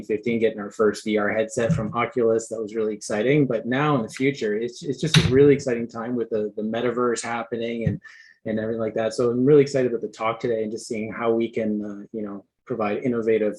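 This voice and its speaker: A man's voice: fairly low pitch, darkish tone, low-quality recording. A man from Canada introducing himself on a webinar panel over a video call, keen and enthusiastic. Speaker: CEO, panelist.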